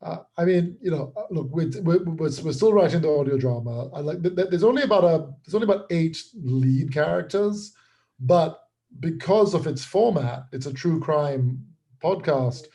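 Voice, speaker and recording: A man's voice: a little high, animated, moderate pace, dark tone. A man talking casually as an interview guest and creator, explaining things with repeated, restarted words.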